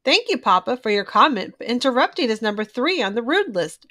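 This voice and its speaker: A woman voicing a firm, sensible mother bear in a children's storybook, instructive and measured. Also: on the high side, sing-song, bright, forceful tone, exaggerated voice. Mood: firm, patient. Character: mother bear, firm, sensible, patiently correcting her family.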